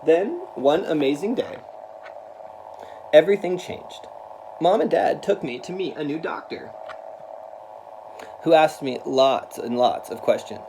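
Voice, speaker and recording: A man's voice: a little high, sing-song, a little slow, creaky, long pauses, dark tone. Earnest man reading aloud, noisy recording. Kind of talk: read-aloud of a children's picture book with spoken introduction.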